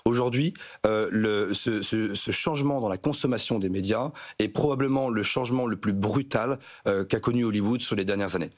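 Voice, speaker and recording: A man, answering as a business interview guest in a hesitant, halting way. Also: fairly low pitch. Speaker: interview guest, startup chief executive. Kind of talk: live broadcast business interview.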